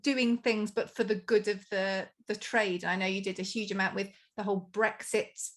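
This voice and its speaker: A woman hosts a podcast with a mid-range voice, and she is chatty, upbeat and quick to make fun of herself. Her talk is warm and conversational as she introduces her guests. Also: mid-range voice, fairly even intonation. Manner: chatty, enthusiastic, self-deprecating. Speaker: podcast host.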